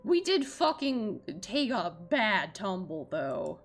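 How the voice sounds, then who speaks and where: A woman's voice: mid-range voice, sing-song, slow pace, slight background noise. A woman streamer reading visual novel narration aloud and adding chatty, self-deprecating reactions, with game music behind her.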